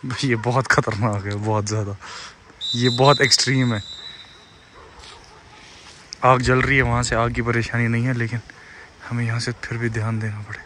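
A man's voice: mid-range voice, flat delivery, normal speed, long pauses. A young man, talking casually to camera and companions as a camping vlogger. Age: young adult. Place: outdoor campsite and inside a tent. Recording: noisy outdoor phone recording. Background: clear ambient outdoor noise.

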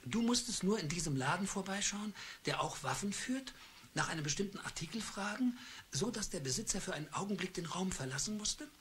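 An actor playing a bitter middle-aged husband who speaks in a cold, deliberate and accusing way in a radio play. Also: male voice, high-pitched, animated, a bit bright, slight background noise. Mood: coldly accusing. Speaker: husband Charles.

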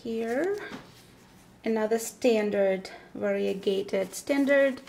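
A woman giving casual unboxing commentary as a vlogger, speaking haltingly in short phrases with pauses, recorded indoors at a table. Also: mid-range voice, gravelly, darkish tone. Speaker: vlogger presenting her plant unboxing. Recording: background noise with paper crinkling and clicks. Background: crumpling paper packaging.

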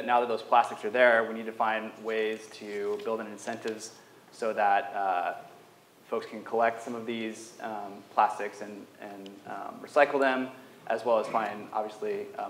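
Low-voiced young man in a roomy hall. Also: level tone, moderate pace, a bit bright, a little hiss or hum. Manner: earnest, thoughtful, frequent ums. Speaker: panelist. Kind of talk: panel discussion answer. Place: large wood-paneled lecture hall. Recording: roomy hall sound, faint ambience.

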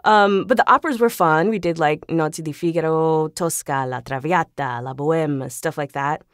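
Female podcast guest, lower register, casual and self-deprecating storyteller, very dry room. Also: normal speed, dry recording. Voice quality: trained singer. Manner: informal, self-deprecating, often laughing. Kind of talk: casual interview chat, personal anecdotes.

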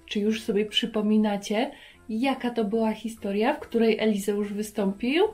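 A woman in her thirties hosting a children's lesson, talking to young viewers in an animated way and asking them questions. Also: mid-range voice, moderate pace, soft background music. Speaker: children's Bible lesson host. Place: carpeted room at home.

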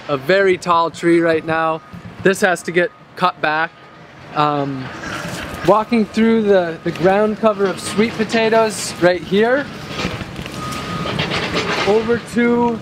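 Upbeat male vlogger, 30s, garden tour, somewhat higher voice, clear background street noise. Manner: enthusiastic, gestures while talking and eating. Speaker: vlogger showing his garden. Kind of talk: casual walk-through vlog. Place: outdoor urban garden, then indoors by a bookshelf. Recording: outdoor traffic noise in background.

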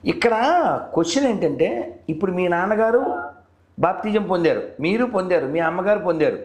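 A man's voice: sits high, lively intonation, brisk, darkish tone. A man debating conversationally on a call, persistently probing with leading questions as the host. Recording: roomy, echoey phone call recording.

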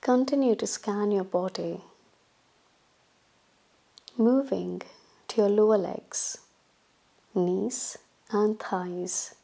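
A woman yoga instructor leading a guided relaxation meditation in a calm, soothing, instructional manner, leaving long pauses between phrases. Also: medium pitch, creaky.

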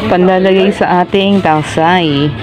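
A man's voice: sits high, steady tone, a little slow. A man casually narrating his fishing vlog outdoors, explaining his catch and nets in an easygoing way. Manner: easygoing, explains while working. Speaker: fisherman vlogger. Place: outdoors by boats and in a village. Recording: noisy outdoor phone-camera recording.

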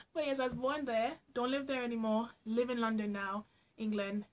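Woman, Trinidadian accent, young adult, fairly high voice, playful vlog chat, faint background hiss. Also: fairly even intonation, moderate pace. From Trinidad and Tobago. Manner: chatty, playful, laughs often. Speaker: vlogger. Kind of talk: casual get-to-know-me vlog. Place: small room at home, indoors.

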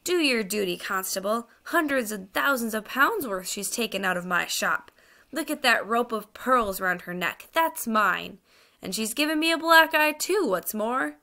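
A woman's voice: on the high side, animated, moderate pace, creaky, bright, forceful tone, pitched above the performer's own voice, heavily acted. A woman reading a children's storybook, voicing an indignant, blustering fat male shopkeeper. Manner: blustering, insistent.

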